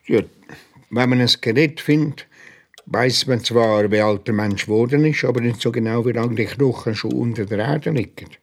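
A man's voice: mid-range voice, moderate pace, natural voice. A man playing an older, grandfatherly amateur detective, thoughtful and easily amazed, in a children's radio play.

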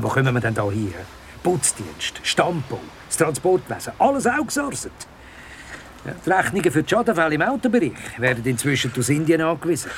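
An actor with a Swiss German accent playing a chatty, grumbling office worker in a radio drama, talking in a colloquial, animated way. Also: male voice, mid-range voice, slight background noise, close to an everyday voice. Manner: colloquial, animated griping with tag questions. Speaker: office worker in materials management.

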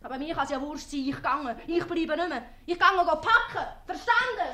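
A sharp-tongued young serving maid in an old radio comedy. Her voice is very high and bright, with a Swiss accent and snappish, defiant retorts. The recording has slight noise. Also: normal speed. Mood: defiant, angry. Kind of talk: 1950s radio comedy acting.

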